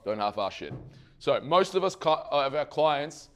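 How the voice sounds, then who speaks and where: A man losing his voice gives a lively fitness seminar to trainers and keeps asking the room questions. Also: a little high, measured pace, faint music behind. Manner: energetic, asks the audience questions and answers them himself; swears casually. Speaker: fitness coach presenting to trainers. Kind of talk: live seminar presentation.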